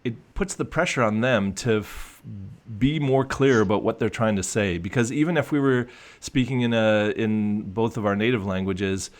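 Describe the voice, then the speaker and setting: Male coach, low voice, casual and reflective, restarts often. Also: moderate pace, few pauses. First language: English. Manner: reflective, many restarts and fillers. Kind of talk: casual conversational discussion.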